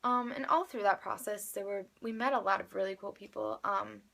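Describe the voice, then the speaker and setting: A woman's voice: medium pitch, brisk, gravelly, fairly bright tone. An eighteen-year-old woman with an American accent, telling a personal story casually in a chatty, rambling way full of fillers. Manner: chatty, rambling, youthful filler-laden speech. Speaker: vlogger, amateur singer.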